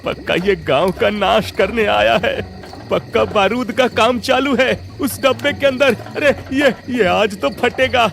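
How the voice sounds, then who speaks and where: A man voicing an excitable, suspicious old village uncle in an animated Hindi cartoon story, alarmed and gullible. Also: sits high, measured pace, gravelly, slight background noise, exaggerated voice.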